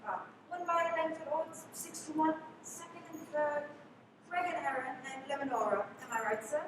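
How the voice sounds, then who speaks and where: A precise, formal memory act showman played by a woman recites facts in a high voice. The sound is far from the mic in a big echoing hall with clear noise behind. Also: normal speed, lightly acted. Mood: formal, proud. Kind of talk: radio play acting, reciting facts. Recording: echoey hall from far off, noisy.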